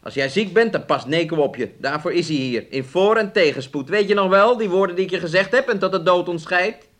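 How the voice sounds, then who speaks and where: A domineering man in a radio drama, with a fairly high voice that swings up and down widely. He nags, scolds and coaxes his lover, self-absorbed and speaking of himself in the third person. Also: expressive, a little fast, a bit bright. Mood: condescending, coaxing. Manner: talks of himself in the third person, nagging and coaxing. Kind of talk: Dutch radio play acting.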